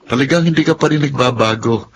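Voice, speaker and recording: A man's voice: mid-range voice, quick pace, a little hiss or hum, close to an everyday voice, low-quality recording. An actor with a Filipino accent playing a teasing young working man, an old batchmate, in an audio drama. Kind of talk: Filipino radio-drama style acting.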